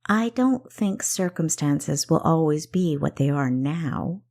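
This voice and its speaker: A woman's voice: low-pitched, expressive, slow pace, creaky, dark tone, heavily acted. A woman voicing a reserved, practical female city guard and elder sister in an audiobook, speaking matter-of-factly. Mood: hesitant, firm. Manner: matter-of-fact.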